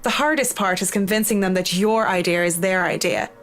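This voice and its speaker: A woman's voice: medium pitch, fairly quick, a bit bright, natural voice. A woman playing a confident, witty interior designer who is proud and passionate, in a visual novel. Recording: music behind game dialogue.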